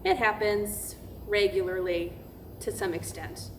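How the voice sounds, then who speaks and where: Female pastor, weary and incredulous, conversational and halting, played by an actress, mid-pitched, faint noise of a live staged reading. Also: steady tone, lightly acted. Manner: hesitant, filler-laden, candid. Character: female pastor recounting seminary harassment, weary, candid, incredulous. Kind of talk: verbatim theatre monologue, conversational and halting. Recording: noisy room recording of staged reading.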